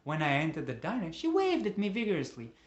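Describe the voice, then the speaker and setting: A man performing a dramatic monologue as a lonely, embittered father, emotive and moving between hushed and agitated. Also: on the high side, wildly swooping pitch, fast, dull audio. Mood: storytelling. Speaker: monologue actor. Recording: roomy, echoing room.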